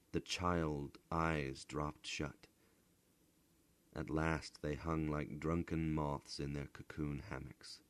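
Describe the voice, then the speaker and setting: Very deep-voiced, slow male storyteller. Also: dry recording. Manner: theatrical, deliberate, hushed at times. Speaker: storyteller, voice actor. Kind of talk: dramatic literary story narration.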